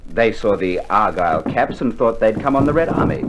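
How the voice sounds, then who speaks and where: An actor playing a seasoned, unflappable Australian sergeant major, laconic and droll, in an old radio war play. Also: male voice, lowish voice, expressive, a little fast, lightly acted. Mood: dry. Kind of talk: 1960s military radio play acting. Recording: slightly noisy old vinyl recording.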